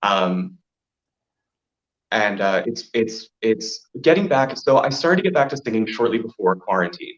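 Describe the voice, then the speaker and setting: A man with a deep voice telling stories casually, as an interview guest who performs in drag. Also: sing-song, a little slow. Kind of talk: casual interview chat, storytelling. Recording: dull, lo-fi audio.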